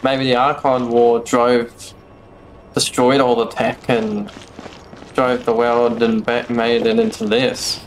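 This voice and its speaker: A man's voice: medium pitch, slow, a little hiss or hum, low-quality recording. A man in his twenties giving casual live gameplay commentary, musing aloud as he plays. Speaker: game streamer. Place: small room at home. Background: game music.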